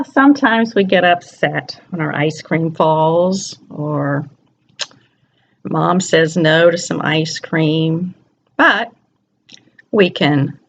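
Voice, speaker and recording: A woman's voice: low-pitched, vocal fry, lo-fi sound, slight background noise. A woman storyteller reads a picture book aloud to young children, expressively, often putting questions to her listeners.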